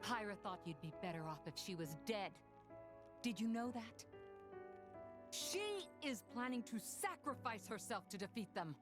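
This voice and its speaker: A woman's voice: mid-range voice, lively intonation, normal speed, over music, lightly acted. A woman playing a stern, impassioned young woman who speaks accusingly in a video game cutscene. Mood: grave reproach. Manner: accusing, forceful phrasing.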